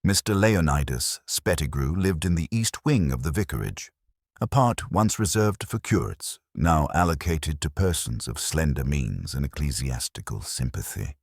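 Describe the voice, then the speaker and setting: A man reading a scripted mystery story in a measured, deadpan and wry manner. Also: very deep voice, husky, no echo, studio quality. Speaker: audiobook narrator.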